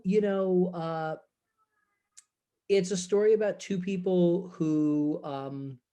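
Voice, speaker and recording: A man's voice: on the high side, darkish tone. A man speaking casually in an interview as a theater director, thoughtfully searching for his words with frequent halts. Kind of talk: casual interview chat about a production.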